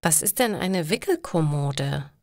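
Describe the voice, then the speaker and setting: A woman's voice: deep voice, animated, measured pace, vocal fry, a little mellow, no echo, clean studio sound, exaggerated voice. A woman voicing a vulnerable, doubtful pregnant teenage schoolgirl in a learner's story. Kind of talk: acted dialogue in a learner's story.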